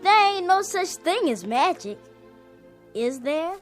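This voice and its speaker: A woman's voice: very high-pitched, very animated, slow pace, soft background music, full character voice. A woman playing an earnest, pleading young boy in a radio drama. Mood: subdued, sad. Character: earnest young boy who believes in magic.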